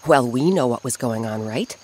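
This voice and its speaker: A woman narrating a children's folktale as an expressive storyteller and host who addresses the listener. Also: very deep voice, normal speed. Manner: animated, audience-addressing storyteller.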